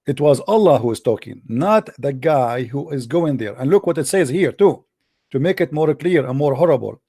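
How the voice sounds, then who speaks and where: A man whose first language is Arabic, hosting a call-in debate, arguing insistently and slowing down to read scripture aloud. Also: medium pitch, expressive, warm tone, husky, dry recording, clean studio sound. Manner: insistent, argumentative, repeats "no, no". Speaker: host.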